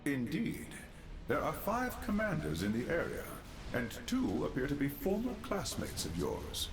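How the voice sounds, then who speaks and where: An elderly, cerebral father figure from a sci-fi game. His delivery is formal and deliberate, swinging dramatically in pitch, a bit high for a man. Prominent music plays behind him. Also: huge pitch swings, creaky, heavily acted. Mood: measured, formal. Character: elderly scientist father, cerebral, courteous, precise. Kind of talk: video game cutscene acting.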